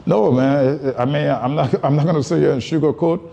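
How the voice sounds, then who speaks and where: A man's voice: on the high side, a little fast, creaky, dark tone, highs cut off, slight background noise. A man from Liberia with a Liberian accent, telling his story casually as an interview guest, repeating and rephrasing for emphasis.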